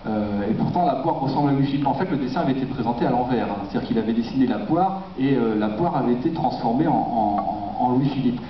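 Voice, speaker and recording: A man's voice: medium pitch, quick pace, hardly any pauses. Echoey, distant man lecturing. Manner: hesitant, searching for words. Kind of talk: public lecture with slides. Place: dark auditorium. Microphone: distant camera in the audience. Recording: echoey, dull, noisy auditorium recording from afar.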